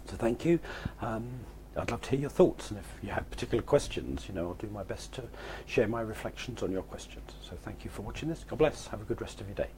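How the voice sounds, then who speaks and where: Middle-aged male vlogger, reflective and informal, lowish voice worn hoarse and cracking into whispers, faint background noise. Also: animated, quick pace, darkish tone. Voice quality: croaky, breaking into breathy whisper. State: hoarse, voice nearly gone. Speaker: host sharing personal reflections. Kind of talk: informal reflective talk to camera.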